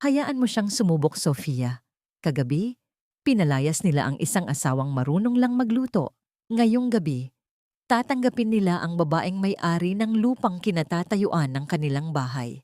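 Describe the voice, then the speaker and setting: A woman's voice: on the low side, sing-song, moderate pace, dark tone, no room sound, clean studio sound. A woman voicing a cold, commanding, betrayed wife and wealthy boss in an audio story, with a controlled, cutting manner. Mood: defiant.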